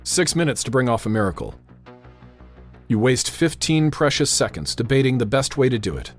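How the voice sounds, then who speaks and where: Over a music bed, a tense airfield tower controller in a radio drama. He is authoritative and urgent, with clipped delivery at a middle pitch. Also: sing-song, moderate pace. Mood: grave suspense. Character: tense, commanding male airfield tower controller narrating a crisis. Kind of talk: dramatic second-person radio drama narration and dialogue.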